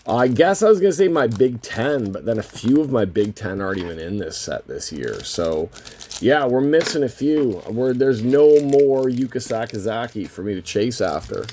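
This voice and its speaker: A man with a voice worn hoarse by a cold, hosting a casual, unscripted card box opening and rambling as he thinks aloud. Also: medium pitch, big pitch swings, moderate pace, warm tone, slight background noise. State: losing his voice from a lingering cold. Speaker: host of a trading card box-break channel.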